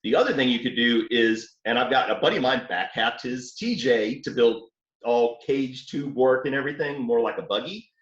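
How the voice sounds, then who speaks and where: A man from New Orleans with a light Southern accent, explaining his Jeep build step by step in a relaxed, casual way. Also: medium pitch, normal speed, reverberant, lo-fi sound. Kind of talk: casual technical chat. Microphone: remote call.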